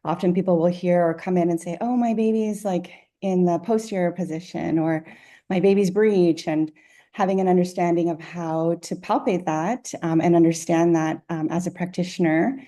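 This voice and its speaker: Upbeat midwife woman, mellow low voice. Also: steady tone, moderate pace. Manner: enthusiastic, upbeat. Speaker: midwife and workshop guest. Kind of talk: casual presentation. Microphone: video call.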